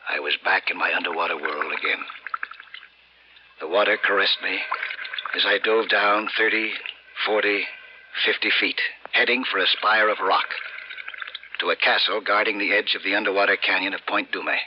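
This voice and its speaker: A man's voice: sits low, steady tone, moderate pace, pauses often, highs cut off, noisy background, close to an everyday voice. An actor playing a brooding, dreamy, obsessed skin diver who narrates his own story in an old radio suspense style, musing and dramatic, repeating phrases. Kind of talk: 1950s radio suspense acting, first-person narration. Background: dramatic music.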